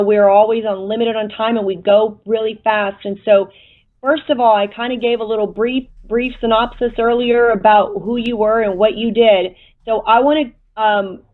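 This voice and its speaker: A woman hosting an interview show over a video call, effusive and quick in her chat with the guest. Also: fairly high pitch. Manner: effusive, fast-talking. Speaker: show host. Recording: tinny phone-like line.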